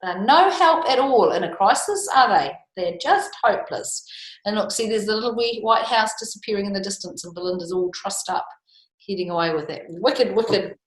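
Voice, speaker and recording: A woman's voice: medium pitch, moderate pace. A woman reading a children's story expressively, with chatty explanations and questions to her listeners. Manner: conversational, explaining, asking tag questions. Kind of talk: expressive read-aloud with chatty asides. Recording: echoey room.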